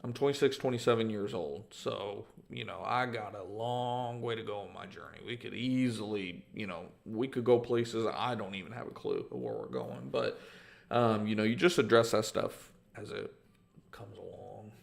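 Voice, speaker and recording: A man's voice: fairly low pitch, normal speed, vocal fry. A man, hosting a casual livestream Q&A, rambling and reading out viewer comments with lots of fillers. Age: 20s. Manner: rambling, reads out comments, many fillers and restarts. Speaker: host answering viewer questions. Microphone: livestream microphone.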